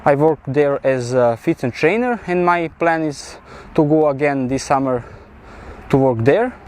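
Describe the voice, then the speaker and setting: A man in his twenties from Serbia with a Serbian accent, speaking halting, simple non-native English in a casual talk to camera. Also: fairly high pitch, a little mellow. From central Serbia. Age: 20s. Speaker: personal trainer and vlog subject.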